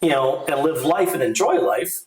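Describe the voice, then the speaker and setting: A man's voice: on the high side, animated, moderate pace. A middle-aged man co-hosting a financial podcast, talking casually and rambling with many "you know" fillers. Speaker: financial advisor, podcast co-host. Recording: echoey room.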